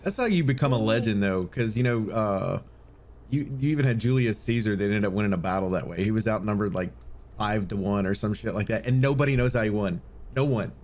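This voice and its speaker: A man's voice: fairly low pitch, fairly quick, a little mellow. A man chatting casually and jokingly about video games as an easygoing podcast co-host.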